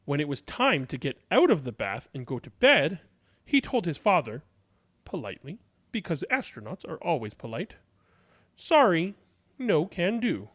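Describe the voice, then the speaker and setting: High-pitched, animated male storyteller. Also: slightly rough. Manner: dramatic, deliberate delivery with drawn-out words. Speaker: storyteller reading a picture book aloud. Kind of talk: expressive children's read-aloud narration.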